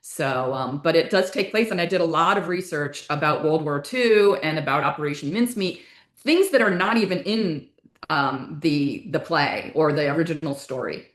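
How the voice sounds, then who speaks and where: A woman's voice: low-pitched, normal speed. A woman speaking casually as an interview guest, explaining her creative work in a rambling way that backtracks mid-sentence. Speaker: guest, author and playwright. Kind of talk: casual interview talk about own work. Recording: roomy, echoey indoor sound.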